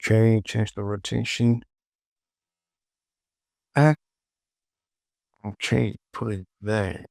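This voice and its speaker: A man gives a casual software tutorial in a low, gravelly voice. He talks slowly, in short muttered phrases that he often repeats to himself. The sound is dry and close to a plain voice-over. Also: steady tone, no room sound. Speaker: tutorial host. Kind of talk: casual screen-recorded software tutorial narration.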